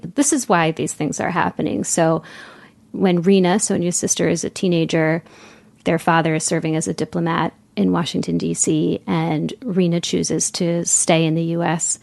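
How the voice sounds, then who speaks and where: Woman interviewer, slightly low voice, noticeable vocal fry, thoughtful, measured, literary podcast. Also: a little mellow. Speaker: podcast host interviewing an author.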